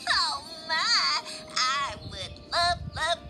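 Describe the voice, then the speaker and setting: Woman playing a very high-pitched vain young girl. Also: sing-song, very slow, loud music behind the voice, heavily acted. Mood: smitten, haughty. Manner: gushing, theatrical. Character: vain, greedy, dreamy young daughter in a fairy tale. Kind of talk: children's animated storybook acting.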